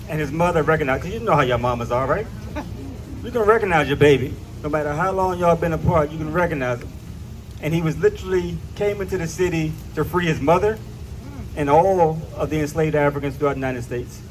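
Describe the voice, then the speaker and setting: A man with a slightly high voice presents informally to a live audience. He is emphatic, repeating phrases and putting questions to listeners, with faint noise in the recording. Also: poor audio. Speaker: presenter at a history event.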